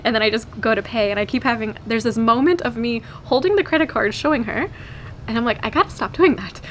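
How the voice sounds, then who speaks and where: A young American woman from California, chatting to camera in a lively, giggly vlog. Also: a little high, fast talker, slight background noise. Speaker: vlogger. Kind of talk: casual chat to camera.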